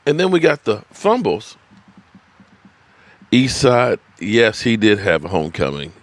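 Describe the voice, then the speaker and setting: A man's voice: fairly low pitch, wildly swooping pitch, a little slow, no echo. A man in his fifties hosting a live sports stream, rambling, swearing and laughing as he reads viewers' comments from his desk. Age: 50s. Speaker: sports channel host. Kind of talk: live-stream sports talk, reading chat.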